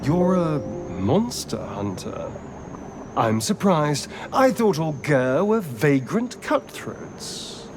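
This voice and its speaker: A man's voice: fairly high pitch, very animated, slow, a little hiss or hum. A man playing an aristocratic, sardonic vampire rogue in a video game, mocking and arch. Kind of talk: video game character acting.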